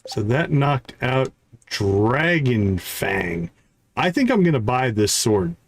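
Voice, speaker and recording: A man's voice: medium pitch, sing-song, takes it slowly, a little hiss or hum. A man giving casual live gameplay commentary, thinking aloud as he counts off items and talks through his tasks. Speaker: live streamer, gaming host.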